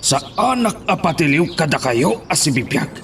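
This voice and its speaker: A man's voice: fairly high pitch, sing-song, normal speed, fairly bright tone, slight background noise. A man with an Ilocano accent playing a defiant, greedy fugitive father who threatens his own family in an audio drama. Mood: defiant, gloating.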